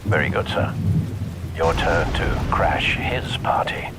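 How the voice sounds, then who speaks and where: An actor playing a loyal, formal butler in a video game, speaking dryly and precisely. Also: male voice, low voice, takes it slowly. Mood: dry, composed. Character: loyal, formal male butler aiding a vigilante. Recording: loud storm and action noise behind.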